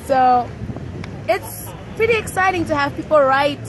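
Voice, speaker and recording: A young woman from Zambia with a Zambian accent, a blogger and journalist introducing herself in an upbeat, quick way outdoors. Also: high-pitched, expressive, crowd noise, rough recording. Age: young adult. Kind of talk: self-introduction to camera. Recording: traffic noise outdoors.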